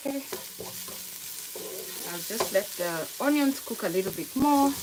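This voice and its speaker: A woman with a Kenyan accent giving a casual cooking demonstration to viewers, explaining step by step in a halting, unhurried way. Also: a little high, expressive. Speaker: home cook hosting a cooking tutorial. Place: kitchen, indoors. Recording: noisy kitchen, sizzling frying sounds. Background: frying sizzle, stirring, clicks.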